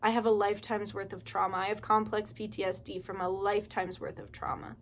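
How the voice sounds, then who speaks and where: A woman's voice: medium pitch, little pitch movement, a little fast. A young woman delivering a heated, profanity-laced monologue straight to her viewers, ranting and pleading. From United States. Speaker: spiritual channel host. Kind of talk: impassioned monologue to camera.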